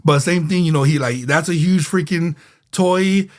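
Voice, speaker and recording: A man co-hosting a laid-back podcast with a slightly higher voice. He rambles easily, circles back to repeat himself and leans on fillers. He is a bit under the weather. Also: normal speed. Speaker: podcast co-host. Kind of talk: casual podcast chat.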